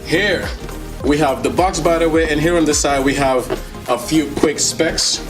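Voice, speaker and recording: A man with a slightly high voice hosts a casual tech unboxing over clearly audible music. He talks in an enthusiastic, jokey way, full of slang and playful asides to his viewers. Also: unhurried. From Netherlands. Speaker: tech reviewer, video host.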